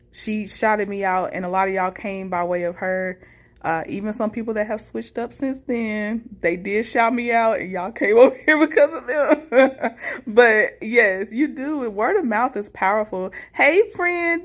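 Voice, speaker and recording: Casting: woman, livestream host, mid-pitched voice, informal and appreciative as she chats with viewers. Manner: informal, appreciative, chuckles between remarks. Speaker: livestream host, content creator. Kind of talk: casual livestream chat, reading viewer comments.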